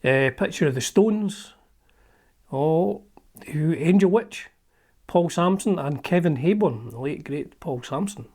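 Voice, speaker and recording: A middle-aged Scottish man chats casually as a show's host, wandering from thought to thought with plenty of ums and false starts. His voice sits a little higher than most men's. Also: expressive, slow, darkish tone. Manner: rambling and chatty, with frequent ums and restarts. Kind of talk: casual chat, leafing through an old magazine.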